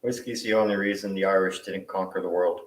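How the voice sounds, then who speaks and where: A male host chatting casually in a relaxed, thoughtful way, with plenty of fillers. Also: low-pitched, brisk, distant mic, background noise. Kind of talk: casual video call chat. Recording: echoey room, away from mic.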